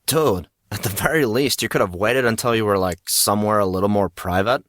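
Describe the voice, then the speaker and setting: A man's voice: on the low side, brisk, fairly bright tone, dry recording, studio quality, natural voice. A man voicing a cocky teenage boy who needles his friend in slang, in an audio story. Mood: teasing.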